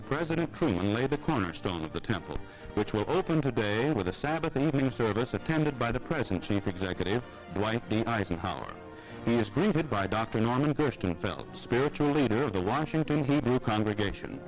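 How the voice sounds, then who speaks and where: A man with an American accent delivering formal, measured 1950s newsreel narration. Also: medium pitch, low-quality recording. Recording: vintage newsreel soundtrack, faint music. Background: faint music.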